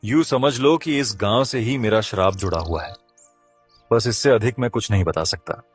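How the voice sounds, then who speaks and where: A man's voice: on the low side, moderate pace, faint music behind, close to an everyday voice. A man voicing a mysterious, solemn cursed kite bird in a cartoon story, speaking in an earnest, portentous way. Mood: grave, instructing.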